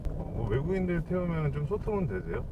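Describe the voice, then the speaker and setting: Wildly animated male interviewer. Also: fairly high pitch, fairly quick, phone-quality sound, a loud crowd. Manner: curious, playful reactions. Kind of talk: casual interview.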